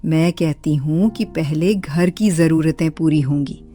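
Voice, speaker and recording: Casting: woman as older village mother-in-law, lowish voice, very unhurried, sarcastic and demanding, cartoon drama with music underneath. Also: dark tone. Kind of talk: Hindi cartoon moral story acting.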